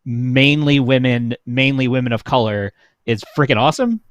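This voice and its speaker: A man's voice: medium pitch, lively intonation, normal speed, dry recording, lo-fi sound. A young adult man chatting casually on a livestream, an enthusiastic fan who peppers his talk with "like". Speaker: show panelist.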